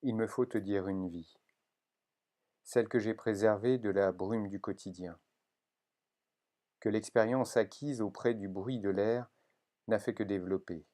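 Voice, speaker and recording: A man giving a solemn poetry reading, with measured and deliberate phrasing. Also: on the low side, fairly even intonation, warm tone. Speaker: poetry reader.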